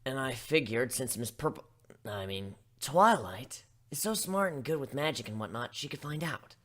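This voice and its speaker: A woman's voice: very deep voice, lively intonation, moderate pace, a bit bright, pitched below the performer's own voice, full character voice. A woman voicing a folksy, straight-talking female farmer pony with country turns of phrase in a story reading. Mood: puzzled, plain. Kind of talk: fan fiction dramatic reading.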